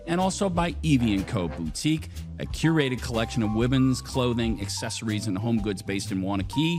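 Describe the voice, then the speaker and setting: A man co-hosting a podcast, thanking the guest and reading sponsor messages in an upbeat promotional way. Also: fairly low pitch. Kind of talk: sponsor reads and outro. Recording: music playing underneath. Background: music.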